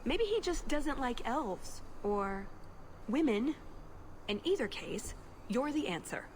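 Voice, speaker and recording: An actress playing a determined, exasperated young elf thief in a video game, wry and earnest. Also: female voice, high voice, sing-song, slow, a little mellow, a little hiss or hum, exaggerated voice.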